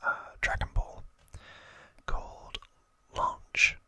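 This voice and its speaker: An ASMR host whispering trivia in a breathy, unvoiced voice, explaining hesitantly with soft pauses. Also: no echo.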